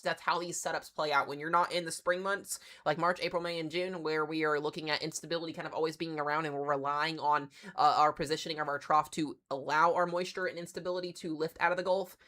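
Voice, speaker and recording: A congested man with strep throat talks through a live weather briefing at a quick clip. His voice is bright and forward, and he comes across chatty and excitable, leaning on plenty of "um"s. Rich traits: fast pace, bright timbre, stuffy nose. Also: a little high. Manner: excitable, chatty, filler-heavy. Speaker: weather livestream host.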